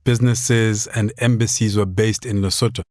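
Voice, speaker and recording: A man in his forties from Southern Africa hosts a podcast and asks reflective questions. He speaks slowly and hesitantly, and he often restarts before he finishes a thought. His voice is low, and the sound is close and dry. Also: fairly even intonation, a little mellow, dry recording. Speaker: podcast host.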